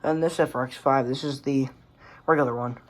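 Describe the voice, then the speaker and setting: A man's voice: mid-range voice, steady tone, fairly quick. A man giving a casual, rambling tour of a collection, offhand and mildly profane. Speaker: radio collector showing collection. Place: indoors among working radios. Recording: clear background noise with clicks.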